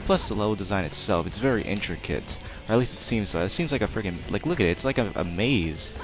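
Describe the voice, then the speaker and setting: Young male let's player, very deep and soft-spoken, rambling and self-deprecating, game music loud underneath. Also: expressive, quick pace. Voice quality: naturally quiet voice. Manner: rambling, chatty, self-deprecating, many fillers. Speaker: let's play commentator. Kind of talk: casual gameplay commentary.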